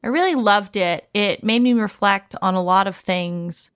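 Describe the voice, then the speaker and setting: A woman podcast host talks in a conversational way. Her voice sits at a middle pitch, and the recording is dry. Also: moderate pace, a bit bright, clean tone, dry recording. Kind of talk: conversational discussion.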